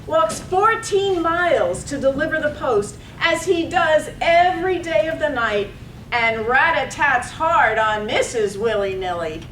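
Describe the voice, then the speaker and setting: A woman narrates a poetic radio play in measured phrases. Her voice is high and slow. She is heard from some distance in an echoey room with faint noise. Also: animated, a bit bright.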